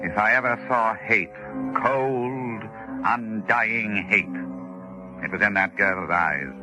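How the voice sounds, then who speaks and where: An actor playing a calculating doctor with formal, clinical diction in an old radio drama. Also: male voice, lowish voice, takes it slowly, music in the background, rough recording. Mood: grave narrating. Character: cool, calculating male doctor recounting his murder experiment. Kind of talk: 1940s radio acting, dramatic first-person narration.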